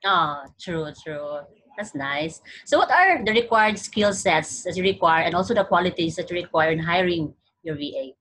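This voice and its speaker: A young woman hosting a casual interview over a video call, agreeable and quick to echo her guest. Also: lowish voice, lively intonation, a bit bright. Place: indoor room, video call.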